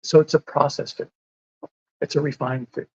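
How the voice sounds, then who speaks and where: A man's voice: on the high side, little pitch movement, normal speed, darkish tone, breathy edge, no echo, lo-fi sound. A man in a casual podcast conversation, explaining whole foods in a patient way and laughing often. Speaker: lifestyle medicine advocate.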